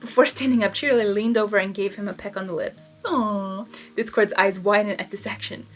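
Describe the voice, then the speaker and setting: A woman reading fan fiction aloud as host, narrating and breaking into casual, expressive reactions. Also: medium pitch, brisk, soft background music. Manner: expressive, animated asides.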